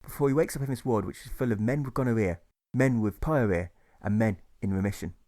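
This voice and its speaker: A man's voice: on the low side, brisk, a little mellow. A man reading a rhyming audiobook story in a rhythmic, emphatic storytelling manner. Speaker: author reading own audiobook. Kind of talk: scripted rhyming narration.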